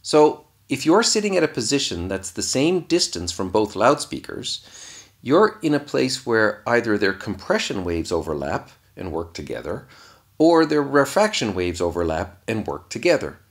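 A man's voice: lowish voice, big pitch swings, moderate pace. A man giving scripted explanatory narration as an instructor, explaining things step by step in a didactic way.

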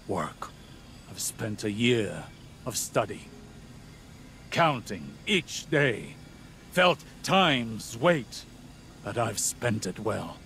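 A grave hologram leader. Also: male voice, medium pitch, pushed and bright, a little hiss or hum, exaggerated voice. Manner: slow, measured, solemn delivery. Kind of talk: video game voice acting.

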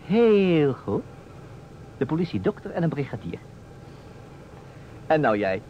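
A man's voice: on the high side, sing-song, a little slow. An actor playing a playful, insistent man who stages a detective puzzle in a radio play, with a teasing, emphatic manner. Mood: playfully insistent. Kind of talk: Dutch radio play acting. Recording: dull, noisy old radio recording.

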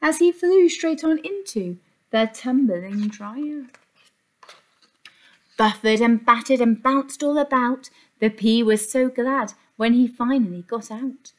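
A woman's voice: fairly high pitch, takes it slowly. A woman reads a picture book to young children with sing-song emphasis, like an infant teacher. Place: small indoor classroom.

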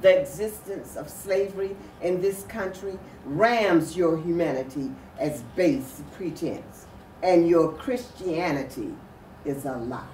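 A woman's voice: lowish voice, takes it slowly, mellow. An older woman giving an emphatic, declamatory dramatic reading of a historic speech. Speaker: readers theatre performer. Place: furnished sitting room, indoors. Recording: roomy, distant mic with faint noise.

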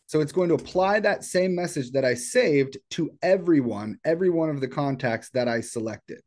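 A man presenting an informal step-by-step software tutorial in a casual, explanatory way. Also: fairly high pitch, lively intonation, normal speed. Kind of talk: casual screen-share tutorial. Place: indoors at a computer.

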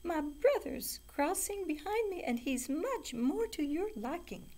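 Woman doing a tiny squeaky baby billy goat. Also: sing-song, measured pace, mellow, full character voice. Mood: timid, pleading. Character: tiny young male billy goat, timid, pleading.

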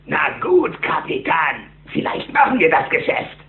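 An actor playing a cocky, sneering gangster with a mocking, taunting delivery in a cartoon audio drama. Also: male voice, on the high side, big pitch swings, a little slow, creaky, full character voice. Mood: sly, mocking. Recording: muffled, roomy, noisy old cassette.

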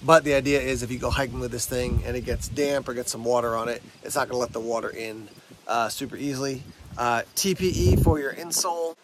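A man's voice: medium pitch, fairly even intonation, a little fast. An American man in his forties giving a casual, unscripted product review to camera outdoors, conversational and a little hesitant. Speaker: gear review vlogger. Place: outdoors, woods, yard and parking lot. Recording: outdoor recording with clear background noise.

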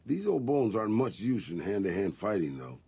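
An actor playing a world-weary old Mexican ghoul gunslinger in a video game, with a gravelly rasp, a Mexican accent and a dry, resigned, sardonic manner. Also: male voice, fairly low pitch, very animated, moderate pace, mellow, no room sound, heavily acted. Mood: self-deprecating. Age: older. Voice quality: gravelly, raspy. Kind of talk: video game companion dialogue.

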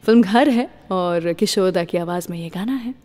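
A woman's voice: lowish voice, normal speed, a little mellow, long reverb tail. An Indian woman hosting a radio show, telling stories to listeners in a scripted style and mixing in English phrases. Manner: mixes English words and phrases into the talk.

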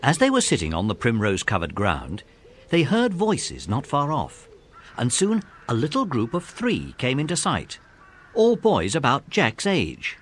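A man's voice: medium pitch, huge pitch swings, a little slow, fairly bright tone, husky. A man with an English accent narrating a scripted children's story.

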